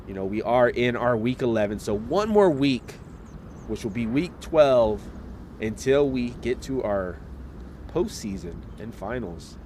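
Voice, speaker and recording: A man casts an esports match in an easy, chatty way, speaking right to the viewers. His voice sits at a middle pitch and carries a slight cold. Faint outdoor noise hums behind him. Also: a little slow. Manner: relaxed, chatty, talks straight to viewers. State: getting over a slight cold. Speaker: esports caster. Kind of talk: live esports match commentary.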